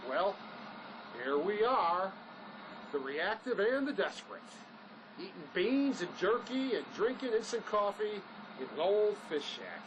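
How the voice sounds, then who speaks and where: A male actor plays a grumbling, skeptical fugitive in an audio drama. His sardonic delivery swings widely in pitch. A faint layer of noise runs underneath. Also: big pitch swings, measured pace. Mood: sarcastic. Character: sarcastic, grumbling, skeptical fugitive man on the run.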